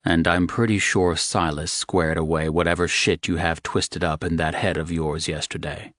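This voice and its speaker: A man voicing a protective young man who is gentle but swears freely. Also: little pitch movement, normal speed, no room sound, studio quality. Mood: tender. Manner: low, gentle, coarse-talking.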